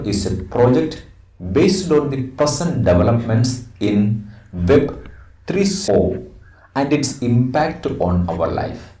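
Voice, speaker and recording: Slow, low-voiced Indian man teaching. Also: mellow. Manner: deliberate, phrase-by-phrase delivery. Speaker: English teacher. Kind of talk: instructional lesson, reading slides aloud. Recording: roomy echo, distant microphone.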